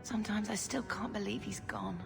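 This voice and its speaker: An actress playing an earnest, wistful young mage in a video game, speaking sincerely and reflectively. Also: female voice, medium pitch, fairly even intonation, brisk, vocal fry, music in the background, close to an everyday voice.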